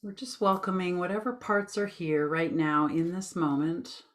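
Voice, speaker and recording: A woman leading a guided meditation with gentle, informal instructions in a soothing, unhurried manner. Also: on the low side, fairly even intonation.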